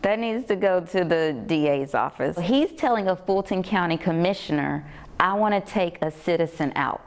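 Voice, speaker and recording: A woman's voice: lowish voice, lively intonation, moderate pace. An American woman answering questions in a TV news interview, speaking in an emphatic, plain-spoken way. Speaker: interviewee, local politician.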